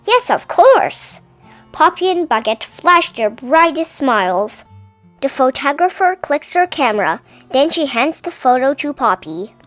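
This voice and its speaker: High, lively woman reading a storybook. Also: a little slow, soft background music. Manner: animated, theatrical read-aloud delivery. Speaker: storybook reader. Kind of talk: expressive scripted children's story narration.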